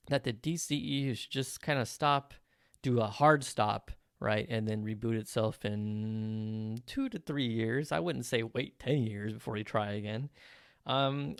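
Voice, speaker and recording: A man's voice: mid-range voice, dry recording, clean studio sound. A man hosting his own podcast, talking casually and with strong opinions, with plenty of ums and uhs. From Mexican heritage. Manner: chatty, opinionated, frequent fillers. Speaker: podcast host. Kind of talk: casual solo podcast talk.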